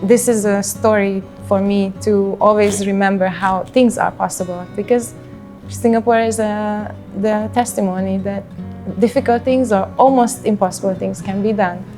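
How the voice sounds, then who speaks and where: A woman's voice: mid-range voice, steady tone, moderate pace, darkish tone. A woman in her thirties with a Hungarian accent, speaking reflectively and unhurriedly in a personal interview. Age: 30s. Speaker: interviewee in a portrait documentary. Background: background music.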